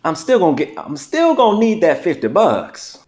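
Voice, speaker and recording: A man's voice: sits high, huge pitch swings, normal speed, a little mellow. A man playing an opinionated, suspicious late-night cashier in a dramatic script reading, with an insistent, gossipy manner. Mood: knowing, firm.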